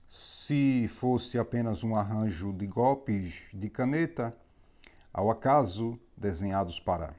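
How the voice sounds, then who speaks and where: A man teaching a religious lesson on Hebrew letters, explaining methodically with pauses and repetition. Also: on the low side, a little mellow. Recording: slightly dull lo-fi recording.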